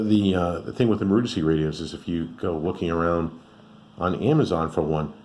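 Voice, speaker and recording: A man with a low, deep voice talks through a product review in a casual, unscripted way. He rambles conversationally, thinking aloud as he goes. There is faint background noise in the recording. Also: darkish tone. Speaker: radio reviewer and channel host.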